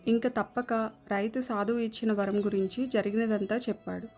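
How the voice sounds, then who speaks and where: A woman's voice: mid-range voice, little pitch movement, a little fast, warm tone. A woman reading scripted narration for a moral story. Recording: music under the voice.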